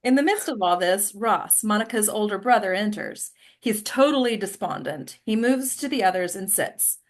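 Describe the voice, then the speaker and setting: A woman's voice: medium pitch, animated, normal speed. A woman giving scripted narration, reading stage directions at a table read.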